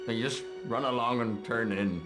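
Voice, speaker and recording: A man's voice: medium pitch, moderate pace, close to an everyday voice. Man acting a downcast groundskeeper, music behind. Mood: dejected. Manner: downcast, folksy. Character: dejected, kindly big gruff groundskeeper man.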